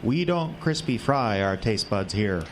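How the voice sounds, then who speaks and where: A man's voice: fairly low pitch, animated, a little slow. Guy doing a wry down-home northern fella in a radio play, noisy recording. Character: wry, food-loving folksy northern companion. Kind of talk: amateur radio drama acting.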